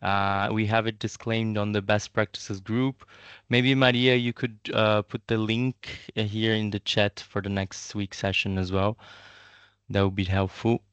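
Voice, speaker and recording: Man host, low smooth voice, hesitant and friendly, video call, dry sound. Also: little pitch movement, measured pace, a bit bright, dry recording. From Brazil and Italy. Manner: hesitant, many fillers, friendly and encouraging. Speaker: webinar host, community manager. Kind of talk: online webinar facilitation.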